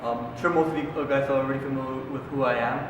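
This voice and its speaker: A man's voice: medium pitch, fairly even intonation, rapid-fire. A young man giving an earnest, formal campaign speech. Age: young adult. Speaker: student council candidate. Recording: echoey hall from far off, noisy.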